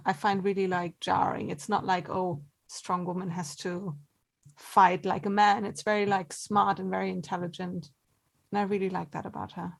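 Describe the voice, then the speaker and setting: A woman's voice: medium pitch, gravelly. A woman chatting casually as an interviewed actor, earnest and a little tentative. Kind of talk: casual chat.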